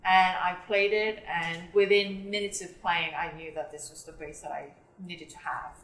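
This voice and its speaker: A young woman who was born in South Korea and grew up in England, speaking thoughtfully about her work as an orchestral bassist in an interview. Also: a bit bright. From South Korea, raised in England. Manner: thoughtful, earnest, with fillers. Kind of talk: interview talk. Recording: roomy echo.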